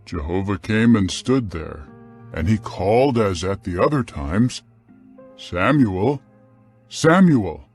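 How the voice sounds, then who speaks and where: Slow, solemn male scripture narrator. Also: on the low side, lively intonation, darkish tone, soft background music. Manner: solemn, measured, clearly phrased.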